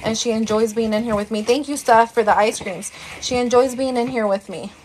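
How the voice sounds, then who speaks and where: A woman's voice: a little high, brisk, a little mellow, slight background noise, poor audio. A woman, chatting casually as a live-stream host, thanking viewers and slipping into Spanish, a bit tired and out of breath. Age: 30s.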